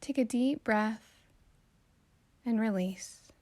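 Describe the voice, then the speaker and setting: A woman guiding a meditation in a calm, soothing, gently instructive way, with soft phrases that trail toward a whisper. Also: a little high, takes it slowly, no room sound. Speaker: meditation guide. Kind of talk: slow guided meditation narration.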